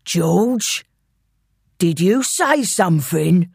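A woman voicing a coarse male henchman with a hoarse, gruff, old-style London accent in an audiobook. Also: fairly low pitch, animated, drawn-out words, darkish tone, dry recording, clean studio sound, heavily acted. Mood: suspicious menace.

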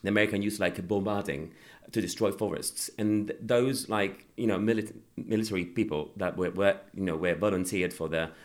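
Deep-voiced Sicilian-born man, hesitant. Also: moderate pace, hardly any pauses. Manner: hesitant, many fillers and restarts. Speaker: actor guest. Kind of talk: casual interview chat.

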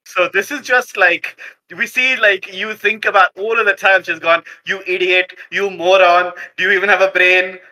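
Male tabletop player, high-pitched and very bright, casual improvised role-play. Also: fairly even intonation, normal speed, rough recording.

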